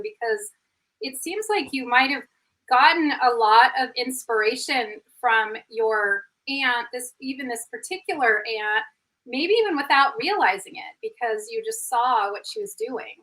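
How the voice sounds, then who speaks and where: Effusive woman host, high bright voice, coach running a live interview. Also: rough recording. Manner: effusive, gushing praise.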